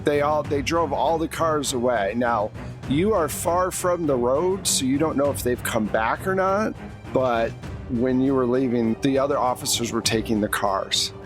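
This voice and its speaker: A man's voice: mid-range voice, normal speed. Man game master narrating over music. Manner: conversational, vivid second-person description. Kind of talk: narrating a tabletop roleplaying game. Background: background music.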